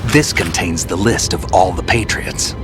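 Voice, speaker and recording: Give me a very low, grizzled soldier giving calm, terse advice over loud game music. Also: male voice, measured pace. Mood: steady. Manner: terse, steady, advising. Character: grizzled male veteran soldier, calm mentor. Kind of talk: video game cutscene acting. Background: loud orchestral music.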